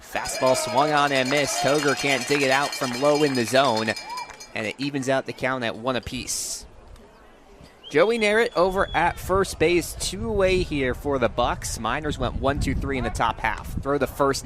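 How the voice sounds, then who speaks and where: A man's voice: mid-range voice, normal speed. A young man calling a game live as a sports play-by-play announcer. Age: young adult.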